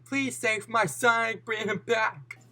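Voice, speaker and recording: A storyteller voicing a distressed mother, softly imploring for the rescue of a child. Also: fairly even intonation, takes it slowly. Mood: pleading. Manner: soft, high, imploring. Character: distressed pleading mother of a kidnapped boy.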